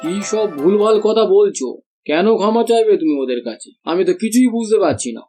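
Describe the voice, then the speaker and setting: Mellow, impatient cartoon husband, played by a man. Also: high-pitched, lively intonation, brisk, soft background music. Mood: puzzled, impatient. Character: puzzled, impatient husband of a landlady. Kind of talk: cartoon dubbing.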